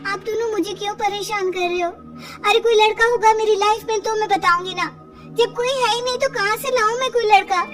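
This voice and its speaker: A woman's voice: very high-pitched, a little fast, music in the background, voice pushed up. A woman voicing a wronged young sister in a cartoon story, emphatic, earnest and protesting. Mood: indignant. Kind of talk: Hindi cartoon story acting.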